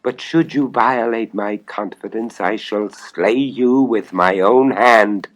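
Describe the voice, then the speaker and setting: A man voicing an anguished, lovesick, secretive king in a storybook tale, speaking in an impassioned, halting, confessional way. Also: on the low side, voice pushed up, low-quality recording. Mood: desperate, threatening. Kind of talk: storybook audio dramatization.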